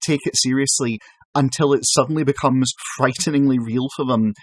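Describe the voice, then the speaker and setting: A male podcast host speaks in an ordinary mid-pitched voice. His exasperated, swearing delivery keeps breaking into restarts. The recording is very dry and close, with no room sound. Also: fairly even intonation, brisk, no echo. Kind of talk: casual podcast chat.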